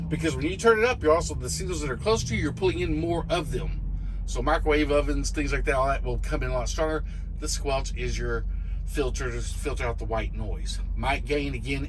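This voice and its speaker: Plain-spoken American man over clear background noise. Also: medium pitch. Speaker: truck driver giving a tutorial. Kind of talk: casual hands-on explainer. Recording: clearly noisy vehicle cab.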